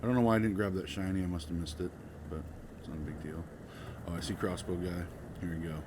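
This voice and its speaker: Low-voiced sick man narrating gameplay. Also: steady tone, fast. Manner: laid-back, rambling, many ums. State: sick, voice a bit off. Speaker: gaming channel host. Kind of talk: casual gameplay walkthrough commentary. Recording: loud background noise.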